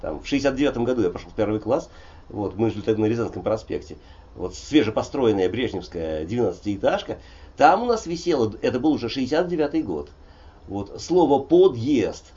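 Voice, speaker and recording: An older man in his sixties speaks in a dark, husky voice that rises and falls with lively pitch. He is a poet who rambles through his thoughts, pausing often and filling the gaps with hesitations. The recording sounds a little dull and muffled. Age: 60s. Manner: digressive, frequent hesitations and "vot" fillers. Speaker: poet and polyglot guest. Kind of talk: conversational interview, discursive storytelling.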